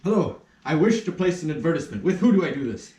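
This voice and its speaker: In a roomy, echoing space, a man acts out a pompous army major for a puppet play. His high voice swoops dramatically as he pleads and exclaims in flustered indignation. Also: big pitch swings, fast, background noise. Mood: hurried. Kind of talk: puppet show stage acting in rehearsal. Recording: roomy echo, somewhat distant mic.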